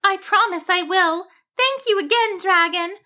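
A woman voicing a small, sweet and cheerful female sheep in a children's story, bright and earnest. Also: very high voice, unhurried, pitched above the performer's own voice, exaggerated voice. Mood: cheerful, grateful.

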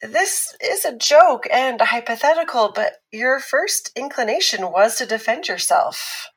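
An actress playing a calm, analytical woman expert who explains patiently and teases a little. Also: on the high side. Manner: measured, explanatory, lightly teasing. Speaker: expert on abuse recovery. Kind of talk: scripted podcast drama dialogue.

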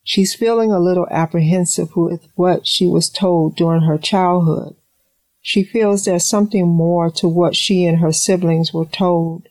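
A woman's voice: lowish voice, fairly even intonation, unhurried, darkish tone, no room sound, close to an everyday voice, poor audio. A woman playing a composed mother, dry sound. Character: caring, composed mother of a student son. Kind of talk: audio drama dialogue.

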